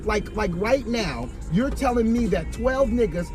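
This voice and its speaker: A man's voice: high voice, animated, unhurried, music in the background. A man co-hosting, arguing skeptically in a heated casual debate, swearing often, inside a car. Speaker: podcast co-host.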